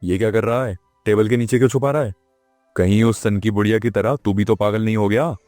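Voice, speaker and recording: A man's voice: on the low side, a little fast, darkish tone, no echo, studio quality, faint music behind, natural voice. A man playing a weary, exasperated village police station chief who grumbles sarcastically in a children's cartoon. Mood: scolding. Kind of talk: children's cartoon moral story acting.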